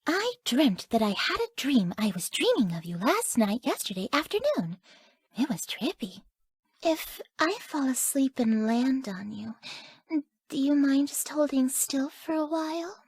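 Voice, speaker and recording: Sweet sleepy game girlfriend, young woman, high airy voice, unhurried, naive, gentle, scatterbrained. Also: sing-song, dry recording, full character voice. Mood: puzzled, uneasy. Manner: soft, airy, gentle, often dropping to breathy near-whispers. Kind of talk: video game character voice lines.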